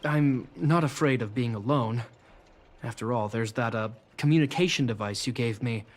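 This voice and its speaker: An actor playing an earnest, protective young brother in a video game, speaking in a measured, sincere, resigned way. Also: male voice, medium pitch, close to an everyday voice. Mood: tender, worried.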